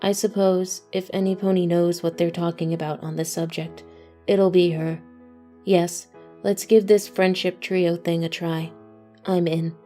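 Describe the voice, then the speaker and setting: A lowish, soft-edged cartoon pony lady, acted by a woman, utterly monotone. She is deadpan and dryly contrary, never sounding excited, with music underneath. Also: unhurried, close to an everyday voice. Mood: flatly agreeable. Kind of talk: fan audio drama, cartoon-style acting.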